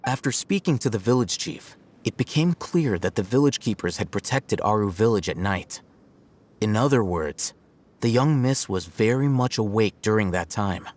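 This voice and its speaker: Game scholar, male actor: cool, analytical, articulate, on the low side, unhurried logic. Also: animated, darkish tone, lightly acted. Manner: measured, articulate, detached. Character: calm, aloof, analytical young scholar man. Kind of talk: video game voice acting. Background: music.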